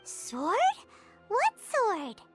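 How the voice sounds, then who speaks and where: A woman's voice: extremely high pitch, huge pitch swings, drawn-out words, over music, heavily acted. A woman voicing a tiny, curious childlike fairy companion in a video game, chirpy and inquisitive. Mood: surprised. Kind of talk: scripted video game dialogue.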